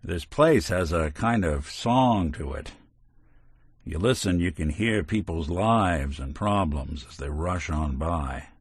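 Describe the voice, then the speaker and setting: A man's voice: low voice, expressive, slow pace, slightly rough, no room sound, full character voice. An actor voicing a world-weary male robot detective in a game, talking in dry, hard-boiled wisecracks. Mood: reflective. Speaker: game companion character. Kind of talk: video game voice acting.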